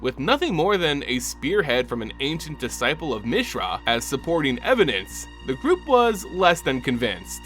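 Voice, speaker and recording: A man narrating a fantasy game's story as a dramatic lore reading, in a lively storytelling manner. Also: medium pitch, moderate pace, hardly any pauses. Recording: music bed underneath.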